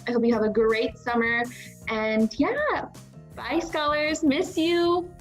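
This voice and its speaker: A woman's voice: sits high, slow pace, faint music behind. A woman teacher giving an informal, congratulatory video message to her young pupils in an encouraging manner. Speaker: elementary school teacher.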